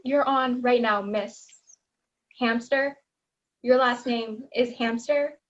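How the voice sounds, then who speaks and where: A woman's voice: a little high, fairly even intonation, slow pace. A teenage girl playing a practical, patient stage manager in a comedy, matter-of-fact, on lo-fi call audio. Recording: dull lo-fi video-call audio.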